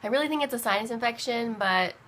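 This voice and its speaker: A young woman vlogger chatting casually to camera while sick with a bad cold, her voice rough, rambling with lots of fillers. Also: on the high side, fast, fairly bright tone. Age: young adult.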